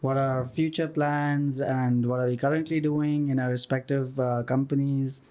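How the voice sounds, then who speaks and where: Pakistani-born architect guy, warm mellow voice, talks it through calmly. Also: mid-range voice, moderate pace. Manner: thoughtful, fluent storyteller. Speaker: guest architect. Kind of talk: casual interview talk.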